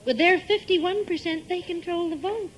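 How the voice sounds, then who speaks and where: An actress playing a sensible, skeptical American housewife and mother in a 1950s radio comedy, brisk and firm. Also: extremely high pitch. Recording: noisy old-time radio recording from tape.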